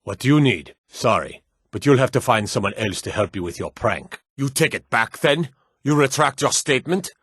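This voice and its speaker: A man playing a dignified, courtly lord with a formal, measured way of talking in a fantasy video game. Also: medium pitch, lively intonation, fairly bright tone, slightly rough, dry recording, studio quality. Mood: indignant.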